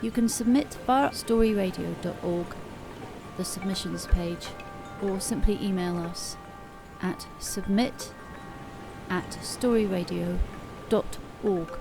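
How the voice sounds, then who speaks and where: A woman's voice: medium pitch, slow pace, gravelly. Woman podcast host over music. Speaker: host of short story podcast. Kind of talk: scripted outro announcement. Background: music and chimes.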